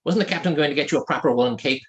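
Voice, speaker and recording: A man's voice: fairly high pitch, racing, lo-fi sound, natural voice. A man reading the part of a brusque, impatient male naval lieutenant, curt and grumbling, in an audiobook. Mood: irritated.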